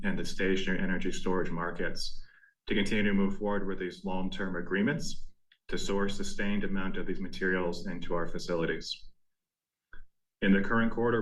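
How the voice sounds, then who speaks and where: A man speaking as a company executive, delivering a prepared earnings presentation in a measured, formal way. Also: deep voice, a bit bright, poor audio. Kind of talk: prepared corporate presentation, scripted narration.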